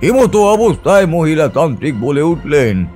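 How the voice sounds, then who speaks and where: A man's voice: fairly high pitch, very animated, moderate pace, a little mellow, soft background music. A man giving a dramatic, suspenseful scripted narration of a horror story in a theatrical manner.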